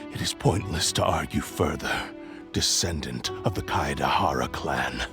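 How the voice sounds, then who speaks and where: A slow, haughty cursed sword spirit. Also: male voice, extremely low pitch, heavily acted. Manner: haughty, theatrical, archaic formal diction. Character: proud, bitter, obsessed spirit of a cursed sword. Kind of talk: dramatic video game voice acting.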